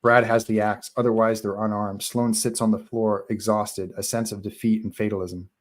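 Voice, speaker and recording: A man narrates a film script at a live table read, delivering scene headings and stage directions. His voice is fairly low. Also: level tone, moderate pace, a little hiss or hum.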